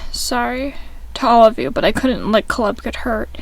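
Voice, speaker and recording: A woman voicing an injured teenage boy who has just woken in a hospital bed, speaking in a weak, hushed, strained way. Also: on the high side, animated, moderate pace, vocal fry, no room sound.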